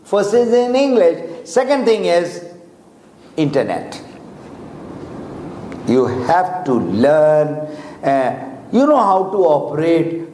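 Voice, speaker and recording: A man whose first language is Urdu or Hindi gives a motivational talk in English at a slow, deliberate pace. His voice sits somewhat high for a man, and he coaxes the audience with questions. The hall around him is echoey, with a faint background hum. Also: big pitch swings, warm tone. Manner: earnest, coaxing, asks the audience questions. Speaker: motivational speaker.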